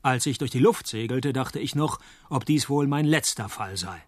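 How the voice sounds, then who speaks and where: An actor playing a wry, deadpan, hard-drinking male private detective in a radio play, speaking with dry, laconic wit. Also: mid-range voice, moderate pace, dry recording, lightly acted. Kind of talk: radio play dialogue and first-person noir narration.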